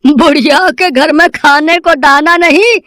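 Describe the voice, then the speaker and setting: A woman's voice: sits high, a little slow, fairly bright tone, no room sound, clean studio sound, voice pushed up. A woman voicing a scoffing, nosy villager in a cartoon story, with exaggerated mockery. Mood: mocking.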